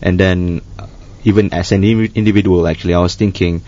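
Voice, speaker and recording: A man speaking as a humanitarian worker guest in a panel discussion, halting and full of restarts. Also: low voice, steady tone, warm tone. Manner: halting, many restarts and fillers. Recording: dull lo-fi studio sound.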